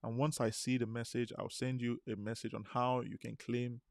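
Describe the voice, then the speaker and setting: A man from Nigeria, hosting a live webinar and giving an urgent, persuasive sales pitch that repeats its phrases. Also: little pitch movement, normal speed, creaky, no room sound, clean studio sound. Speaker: webinar host.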